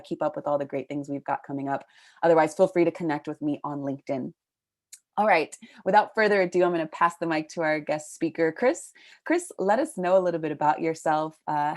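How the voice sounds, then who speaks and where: A woman from California hosts a live online session. Her voice is very low for a woman, and she talks quickly. She keeps the flow brisk and fills the gaps with fillers. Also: darkish tone. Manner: brisk, filler-heavy hosting. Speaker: webinar host. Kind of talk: live webinar hosting.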